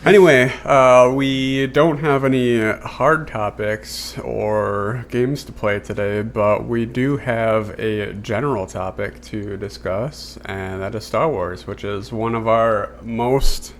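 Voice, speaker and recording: A man's voice: on the low side, slow pace, few pauses, a little hiss or hum. Easygoing man co-hosting a podcast. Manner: easygoing, playfully argumentative. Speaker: podcast co-host. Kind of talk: casual chat.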